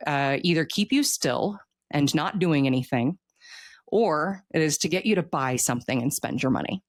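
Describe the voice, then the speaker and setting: A woman's voice: low-pitched, dry recording, clean studio sound. A woman in her thirties talking casually in an interview, explaining earnestly and often posing rhetorical questions. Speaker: author being interviewed.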